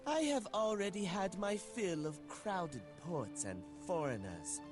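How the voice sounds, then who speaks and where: A man's voice: sits high, expressive, unhurried. A man playing an arrogant, venomous royal admiral in a video game cutscene, haughty and commanding. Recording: game music behind the voice.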